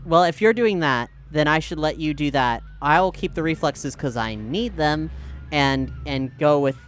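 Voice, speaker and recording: A man chatting casually as a tabletop game player, thinking aloud in a halting way. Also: fairly high pitch, animated. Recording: clear background noise.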